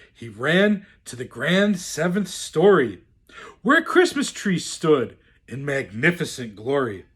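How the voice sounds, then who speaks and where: Slow, swooping male storyteller. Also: on the high side, fairly bright tone, breathy edge. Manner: theatrical, deliberate, swooping delivery. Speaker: storyteller in the role of Santa. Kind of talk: expressive reading of a rhyming children's storybook.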